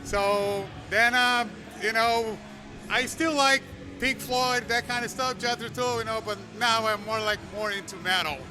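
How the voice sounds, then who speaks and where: A man from Brazil with a Brazilian Portuguese accent, a Portuguese speaker, answering interview questions in a friendly, halting way. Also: extremely high pitch, steady tone, music in the background. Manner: friendly, halting, searching for words. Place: outdoors at a noisy event.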